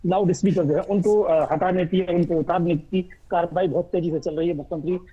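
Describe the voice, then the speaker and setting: A man's voice: high-pitched, fairly even intonation, a little fast, mellow, husky. A man reporting live as a news bureau chief, speaking off the cuff in an emphatic, wordy, repetitive way. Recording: dull, muffled live link audio.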